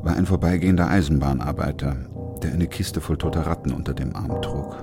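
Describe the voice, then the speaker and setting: An actor playing a weary, principled doctor who narrates a radio drama in a measured, restrained way. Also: male voice, extremely low pitch, loud music behind the voice. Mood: grave, restrained.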